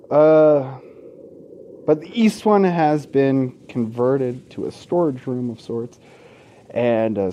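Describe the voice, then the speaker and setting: A man's voice: medium pitch, dark tone, a little hiss or hum. A man hosting a let's play in an informal, sweary way, mixing casual gaming commentary and asides with game text read aloud.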